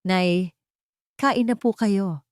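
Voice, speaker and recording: A woman's voice: mid-range voice, very slow, dry recording, studio quality, voice pushed up. A woman voicing a devoted, sorrowful grown son in an audio story. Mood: gentle. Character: devoted, sorrowful adult son comforting his mother.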